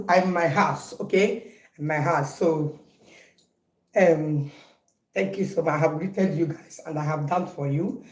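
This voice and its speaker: Nigerian woman, 40s, relaxed home vlogger; unhurried, repetitive chatter in a roomy space. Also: low-pitched. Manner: chatty, repeats herself playfully. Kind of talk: casual birthday vlog to viewers. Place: in her home.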